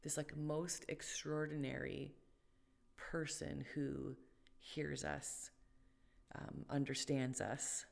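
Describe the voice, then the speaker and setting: A woman's voice: deep voice, little pitch movement, slow, vocal fry. A woman co-hosting a faith podcast, talking casually and thoughtfully, with many fillers and restarts. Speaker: podcast co-host.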